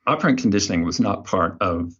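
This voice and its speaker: A man's voice: deep voice, animated, fast, fairly bright tone, slightly rough, highs cut off. A man speaking as an interview guest, telling his story in a casual, anecdotal way. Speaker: guest, behavior design researcher.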